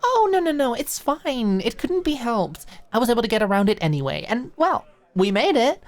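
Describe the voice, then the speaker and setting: A woman voicing an anxious, eager young female fashion student in an audio story, flustered, stammering and quick to apologize. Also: fairly high pitch, very animated, pitched above the performer's own voice. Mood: anxious, reassuring.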